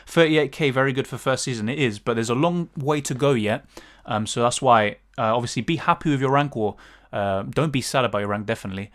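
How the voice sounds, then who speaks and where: A man's voice: medium pitch, brisk, hardly any pauses, a bit bright. British guy rambling through viewer questions on a live stream, lots of ums. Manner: chatty, thinks aloud with many fillers and restarts. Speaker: fantasy football streamer. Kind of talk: casual livestream chat answering viewer questions.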